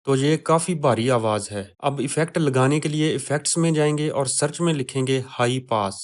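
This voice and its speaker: Guy doing a how-to voiceover, mid pitched voice, explaining software steps. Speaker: tutorial presenter. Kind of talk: instructional screen-recording narration. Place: voice-over for a software screen recording.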